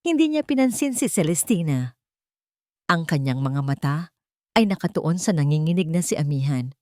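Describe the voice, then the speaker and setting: A woman with a slightly low voice narrates a dramatic story. Her intonation moves widely and expressively, and the recording is dry and close, with no room sound. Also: animated, moderate pace, mellow, no echo.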